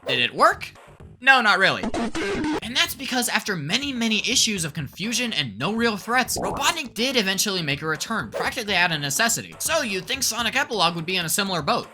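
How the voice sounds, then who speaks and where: A woman's voice: mid-range voice, big pitch swings, brisk, over music. A woman hosting a scripted comedic video essay in a theatrical, sarcastic, mock-grandiose manner.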